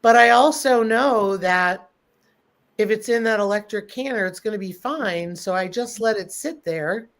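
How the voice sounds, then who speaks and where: A woman's voice: medium pitch, unhurried. Snuffly woman hosting a livestream. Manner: friendly, greets viewers by name, gives practical advice. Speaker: host. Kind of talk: casual livestream chat.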